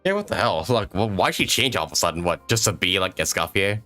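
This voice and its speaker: Man, game streamer, crude sarcastic reactions, laughs a lot, lively pitch, faint music. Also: fast talker. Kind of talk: casual live game commentary.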